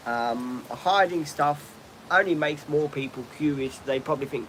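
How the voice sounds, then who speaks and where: Australian man, middle-aged, rambling live-stream host, outdoors with steady background noise. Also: fairly high pitch, normal speed, low-quality recording. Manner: chatty, rambling, frequent ums and restarts. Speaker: livestream host. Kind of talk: casual live-stream chat. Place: outdoors, birds in trees nearby.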